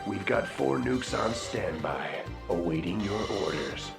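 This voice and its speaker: A man's voice: sits low, animated, a little slow, creaky, heavily acted. A man playing a grim, stealthy military operative in a video game, reporting tersely. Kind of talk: scripted game unit responses. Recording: echoey, loud music behind.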